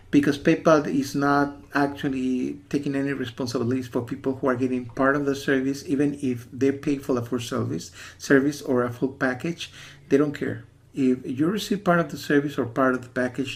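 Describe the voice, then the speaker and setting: A man's voice: medium pitch, gravelly. A man from the USA giving a casual spoken review as a warning to viewers, rambling and insistent, often repeating himself. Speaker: software reviewer.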